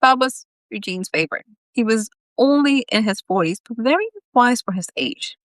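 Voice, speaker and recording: A woman's voice: a little high, lively intonation, moderate pace, no room sound. A woman reading a story aloud as its author, in a live reading style with casual asides.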